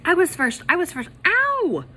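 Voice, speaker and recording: A woman voicing squabbling, grabby third-grade children in a storybook, shouting shrilly. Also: extremely high pitch, huge pitch swings, normal speed, slight background noise, pitched above the performer's own voice, heavily acted. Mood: frantic squabbling.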